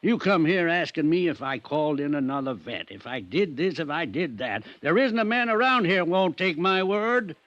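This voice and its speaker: An American actor playing an older country veterinarian in 1950s radio style, folksy, plain-spoken and stubbornly testy. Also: male voice, a little high, expressive, normal speed, few pauses, fairly bright tone, no echo. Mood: defensive, indignant. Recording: dull vintage radio recording.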